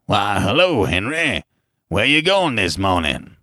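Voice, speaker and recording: A man's voice: fairly low pitch, very animated, a little slow, sharp tone, no echo, clean studio sound, pitched below the performer's own voice, heavily acted. A man voicing a solid, heavyset farmer, folksy and exclaiming, with a rural American accent. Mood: genial. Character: solid, pursy, astonished farmer with a hard red face.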